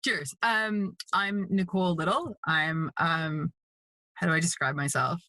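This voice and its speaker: A woman's voice: on the low side, unhurried, sharp tone, dry recording. A woman from Canada with a Canadian accent, chatting casually as an interview guest. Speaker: guest, mixed media artist.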